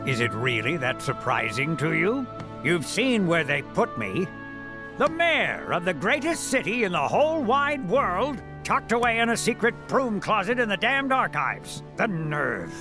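Game casting: pompous elderly mayor, bitter and theatrical, high voice, big swings in pitch. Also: male voice, very animated, unhurried, music in the background, full character voice. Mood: bitter, indignant. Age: older. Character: theatrical, embittered elderly city mayor, secret rebel informant. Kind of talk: video game cutscene acting.

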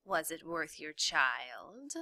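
A woman voicing a sly, soft-spoken male rat who speaks slowly in a hushed, whispery way. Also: low voice, sing-song, no room sound, clean studio sound, full character voice.

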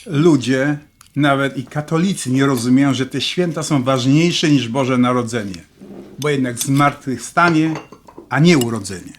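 A man's voice: medium pitch, normal speed, natural voice. An actor playing a defensive, teasing middle-aged father in a naturalistic audio drama. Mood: lecturing. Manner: animated, self-justifying. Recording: faint noise with tableware clinks.

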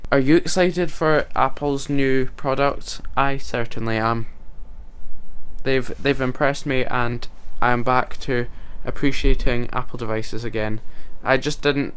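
A young man talks loosely to camera in his bedroom, rambling a little and joking at his own expense. His voice is smooth and clear at a middle pitch, picked up close by the camera. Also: a bit bright. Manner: chatty, rambling, self-mocking with small laughs. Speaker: vlogger. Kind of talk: casual talk to camera. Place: bedroom, indoors. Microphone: camera mic at close range.

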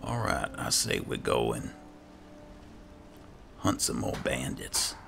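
Male game streamer, rock-bottom pitch, heavy creak, muttering casual roleplay commentary. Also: huge pitch swings, slow pace, noisy background. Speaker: gaming video host. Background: game music.

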